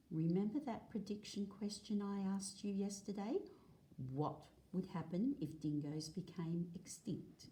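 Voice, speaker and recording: A woman reading aloud as a teacher, explaining in a measured way, phrase by phrase. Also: lowish voice, dark tone, a little hiss or hum. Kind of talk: read-aloud lesson of a non-fiction picture book. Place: indoors at a table, filming a book.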